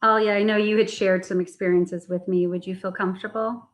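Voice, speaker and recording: A woman with a medium-pitched voice moderates a podcast discussion as its host. She speaks gently and courteously, showing sympathy to her guests. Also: fairly even intonation, brisk.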